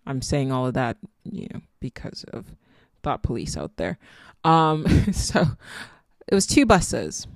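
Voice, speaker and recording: A woman's voice: extremely low pitch, big pitch swings, measured pace, vocal fry, no echo, clean studio sound. A woman with a Nigerian accent hosting a solo podcast, musing reflectively and meandering as she talks. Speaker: podcast host. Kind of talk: casual solo podcast monologue.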